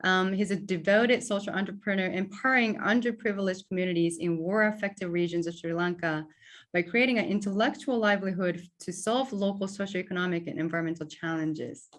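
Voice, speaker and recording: A woman's voice: medium pitch, a little fast. A woman hosting an online event, introducing speakers and thanking them, with frequent fillers. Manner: hesitant with fillers. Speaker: event host.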